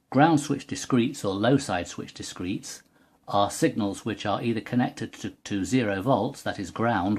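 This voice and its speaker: Man, lowish pitch, husky voice, precise hands-on tutorial delivery, methodical explanations. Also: darkish tone. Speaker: presenter of a technical tutorial. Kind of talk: step-by-step hands-on product demonstration.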